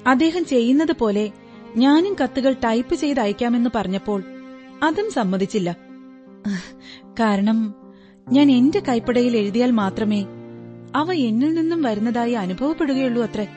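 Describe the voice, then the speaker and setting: Woman playing a high-voiced, earnest young bride-to-be. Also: animated, moderate pace, pauses often, a little mellow, close to an everyday voice. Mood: fond, earnest. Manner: earnest, polite, detailed recounting. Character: earnest, devoted, trusting female client, young bride-to-be. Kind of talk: radio drama acting. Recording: radio drama with background music. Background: music.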